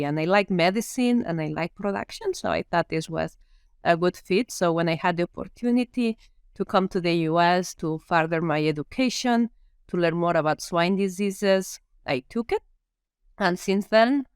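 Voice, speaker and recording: Spanish lady vet prof on a podcast, lowish voice, dry close sound, thinks out loud with ums. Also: clear, resonant tone, no room sound. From Spain. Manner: thoughtful, with frequent ums and restarts. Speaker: veterinary professor, guest. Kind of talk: podcast interview.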